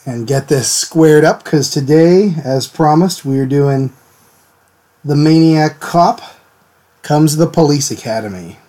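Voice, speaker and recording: A man hosting a live drawing stream, a little hoarse from a cold, chatting casually and greeting viewers by name. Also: fairly high pitch, slow pace, mellow. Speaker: art stream host. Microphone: desk mic, sometimes turned away from it.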